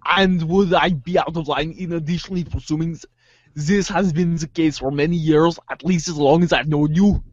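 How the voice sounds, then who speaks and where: A man's voice: a little high, steady tone, moderate pace, no room sound, muffled recording, lightly acted. A man voicing a sardonic, analytical teenage girl with elaborate, pompous wording in an amateur readers' theater. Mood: dry, probing.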